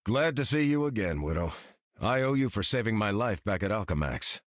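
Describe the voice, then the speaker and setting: An earnest, courteous soldier hero with a deep, steady voice. The recording is close and dry. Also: male voice, fairly quick, dry recording. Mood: earnest, friendly.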